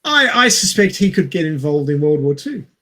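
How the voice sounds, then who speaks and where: Casting: male film podcast co-host, voice a little high, recapping and chatting with a wry, dry wit. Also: big pitch swings, fairly quick, pushed and bright. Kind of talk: reading a film plot summary with wry asides and casual chat.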